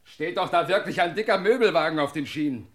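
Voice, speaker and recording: An actor playing an officious, grumbling train conductor in a comic crime radio play. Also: male voice, on the high side, animated, brisk, a bit bright. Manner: indignant, grumbling.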